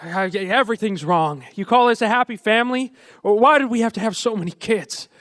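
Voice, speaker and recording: High-pitched, harried George Bailey. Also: male voice, brisk, fairly bright tone, no room sound. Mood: irritable, bitter. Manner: stammering. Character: George Bailey, harried small-town family man, frustrated, tender underneath. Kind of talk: old-time radio drama acting.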